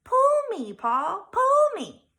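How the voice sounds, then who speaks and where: A woman's voice: very high voice, huge pitch swings, takes it slowly, bright, forceful tone, voice pushed up, exaggerated voice. A woman voicing a mischievous talking pigtail from a children's storybook, small and whiny, coaxing and pleading to get its way. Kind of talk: children's storybook read-aloud character voice.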